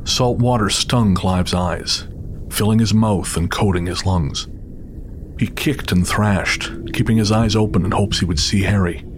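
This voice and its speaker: A man's voice: on the low side, unhurried, background noise. A man narrating a scripted audio drama in a dramatic storytelling style. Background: loud music with rain and thunder.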